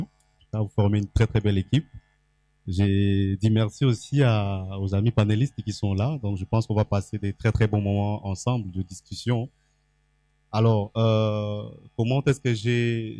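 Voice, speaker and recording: A man's voice: lowish voice, warm tone, no room sound. A man from the Équateur province of Congo, a guest on a reading panel, telling his story chattily with many fillers and restarts into a handheld microphone. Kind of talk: public panel talk. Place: event hall stage.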